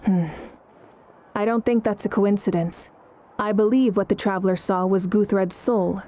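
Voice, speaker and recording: An actress playing a calm, resolute female leader in a video game, composed and authoritative. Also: mid-range voice, moderate pace, close to an everyday voice. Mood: calm, considering. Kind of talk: English video game character acting.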